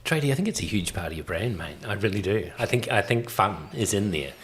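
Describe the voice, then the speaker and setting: A man hosting a podcast, relaxed and affable, talking casually with plenty of fillers. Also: on the low side, a little fast, a little hiss or hum. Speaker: podcast host.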